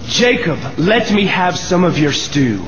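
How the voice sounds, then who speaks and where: A man voicing an impulsive hunter son in a Bible cartoon, drawn-out and melodramatic. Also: sits high, very animated. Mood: weary, hungry. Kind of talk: Bible cartoon story acting. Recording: dull audio with noisy background.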